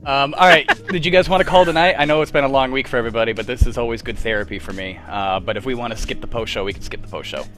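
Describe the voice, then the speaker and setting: A man's voice: medium pitch, rapid-fire, hardly any pauses, a bit bright, soft background music. A young man hosting a livestream in casual, jokey, self-deprecating group chat. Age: young adult. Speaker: host of a live script-reading stream.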